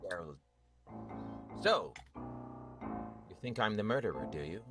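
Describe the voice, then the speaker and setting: A man playing a shifty, menacing, suspicious character in an improvised radio melodrama, halting and sly, repeating himself. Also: on the low side, big pitch swings. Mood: accusing. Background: piano music.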